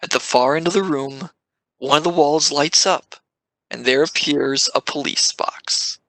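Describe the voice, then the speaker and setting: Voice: medium pitch, unhurried, fairly bright tone, poor audio. A narrator reading the stage directions of a live amateur radio play in a dramatic way, stressing sudden events.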